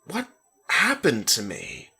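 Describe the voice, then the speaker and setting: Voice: mid-range voice, huge pitch swings, takes it slowly, creaky, sharp tone, breathy edge, heavily acted. A reader voicing an injured boy in hospital, anxious and demanding, in an insistent dramatic story reading.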